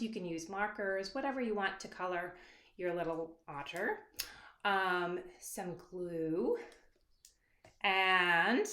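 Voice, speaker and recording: A woman's voice: mid-range voice, a bit bright. A woman giving a casual, encouraging, unscripted step-by-step craft tutorial for children. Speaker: children's author leading a craft demonstration. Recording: roomy echo, faint background noise.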